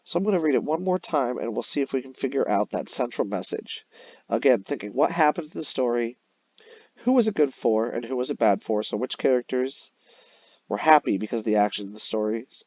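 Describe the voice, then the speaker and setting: A man's voice: mid-range voice, lively intonation, a little fast, a little mellow, slightly rough, no room sound, highs cut off. A man teaching young children in an explanatory way, as in a recorded read-aloud lesson. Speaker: elementary teacher. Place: voice-over on a screen-recorded slide lesson.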